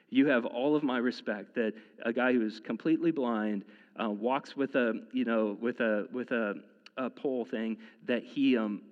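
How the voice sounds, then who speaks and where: A man's voice: mid-range voice, big-room sound, muffled recording. A man in his thirties preaching a sermon in a casual, conversational way, often restarting his sentences and using fillers. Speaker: pastor.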